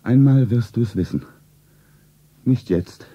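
An actor playing an ailing, tender, secretive rich lover in a romance radio play, husky and breathy, solemn and weary. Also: male voice, medium pitch, unhurried, dark tone, no echo. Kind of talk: 1970s German radio play, pulp romance. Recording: dull old radio play tape.

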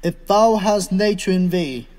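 A man's voice: sits high, expressive, a little slow, a little mellow. A man playing the solemn, aggrieved ghost of a murdered king, declaiming formal verse in an audio drama. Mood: commanding. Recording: long cavernous reverb.

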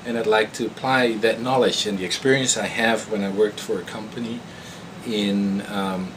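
A man's voice: on the low side, moderate pace. A man in his thirties from the Netherlands with a Dutch accent, a researcher informally introducing himself to camera in a laboratory. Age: 30s. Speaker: postdoctoral researcher. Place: indoors in a laboratory. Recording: clear steady room noise in lab.

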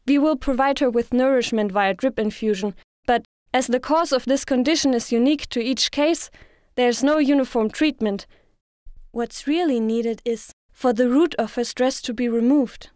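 A composed anime nurse, played by a woman, with a high voice and a measured, clinical way of explaining. The recording is dry and close. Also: a little mellow, no room sound, natural voice. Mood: calm, clinical. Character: composed, professional female Pokemon Center nurse. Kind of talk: anime-style voice acting.

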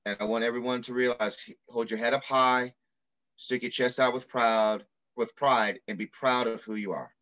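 A middle-aged man reading aloud in the style of a children's picture book, with measured, deliberate phrasing. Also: medium pitch, fairly even intonation, pushed and bright. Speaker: pediatrician reading a story aloud. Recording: muffled video call audio.

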